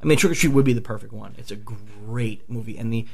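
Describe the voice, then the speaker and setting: A man on a podcast, hoarse from a flu, talking excitedly and swearing often as he raves about movies. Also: fairly low pitch, fast talker. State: a few bourbons in. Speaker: podcast host. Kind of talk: casual movie talk.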